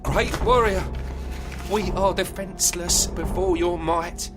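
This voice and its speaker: A woman's voice: on the low side, slow. Humble, fearful servant woman over music. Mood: urgent, pleading. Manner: urgent, deferential. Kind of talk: audio drama acting. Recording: music under the voice.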